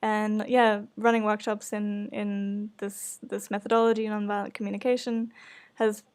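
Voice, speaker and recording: Earnest woman with a creaky voice. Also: medium pitch, level tone, normal speed, a little mellow. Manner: hesitant, earnest, self-conscious. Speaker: podcast host and writer. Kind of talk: casual chat.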